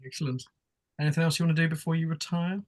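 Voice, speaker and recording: A man's voice: on the high side, fairly even intonation, rapid-fire. A man with an English accent narrating casually as game master of a tabletop roleplaying game. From England.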